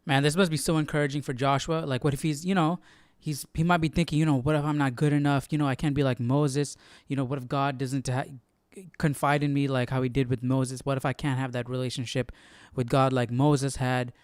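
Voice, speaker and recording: Casual man with a clear, dry sound. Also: medium pitch, little pitch movement, fairly quick, no room sound. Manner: informal, often checking with "right?". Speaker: host of a Bible study livestream. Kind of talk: casual chat commentary.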